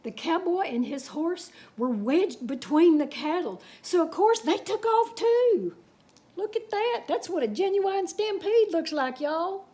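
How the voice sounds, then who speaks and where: Children's story reader: woman, high-pitched, very lively and folksy. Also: unhurried. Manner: animated, folksy, dramatic. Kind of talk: expressive storybook read-aloud with folksy asides.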